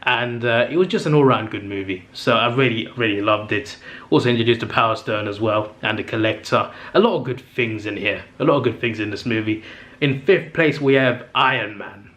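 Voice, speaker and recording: A young man hosting his own channel, chatting enthusiastically through a casual ranking, full of fillers and quick self-corrections, his voice a little strained as he says he is losing it. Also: mid-range voice, a bit bright. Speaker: movie review channel host. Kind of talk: casual ranking monologue to camera.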